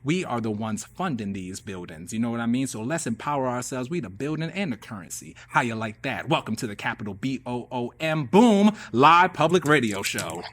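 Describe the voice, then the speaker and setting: A man's voice: medium pitch, very animated, fairly quick, hardly any pauses, a bit bright, a little hiss or hum. A man hosting a radio show live, talking in a theatrical, emphatic, playful and slangy improvised monologue. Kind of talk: animated improvised monologue and storytelling to a live audience.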